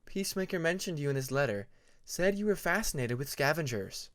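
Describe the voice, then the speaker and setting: A man voicing a gentle young female dragon in a fantasy audiobook, polite and curious, speaking softly. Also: on the high side, sing-song, brisk.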